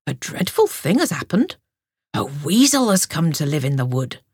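A woman voicing an old male hedgehog milkman in a storybook reading, speaking low and slowly. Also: very animated, slightly rough, no echo, studio quality, full character voice. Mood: worried. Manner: slow, low and warm-hearted.

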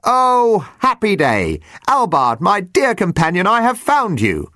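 A man voicing a relentlessly cheerful little male creature with a shrill, chirpy voice. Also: very animated, normal speed, a bit bright, no room sound, clean studio sound.

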